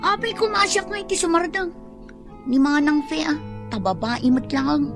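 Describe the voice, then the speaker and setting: A woman's voice: high voice, lively intonation, moderate pace, over music. A young woman with an Ilocano accent plays an impatient, complaining daughter in a radio drama.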